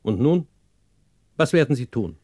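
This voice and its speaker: A man's voice: medium pitch, sing-song, unhurried, a little mellow, no echo, clean studio sound. A male actor playing a proud, wealthy father with a stiff, conciliatory manner in a 1960s German radio crime drama.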